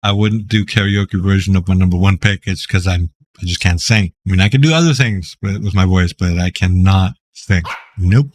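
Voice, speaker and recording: A man from Greece with a deep, raspy voice, a gaming YouTuber casually reading out and answering viewer questions with jokes and rambling asides. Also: moderate pace, hardly any pauses, slight background noise. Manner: laid-back, joking, rambling.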